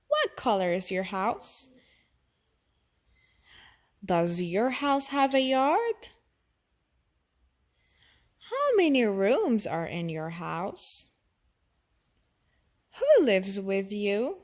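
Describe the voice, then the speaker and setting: A woman reading a children's storybook aloud, expressive and addressing the young listener directly. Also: a little high, very slow, pauses often. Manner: expressive, asks the listener questions.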